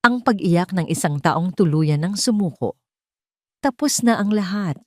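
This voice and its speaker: Female narrator, Filipino accent, lowish register, scripted audio-drama storytelling, dry close recording. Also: lively intonation, a little slow, a little mellow, no echo.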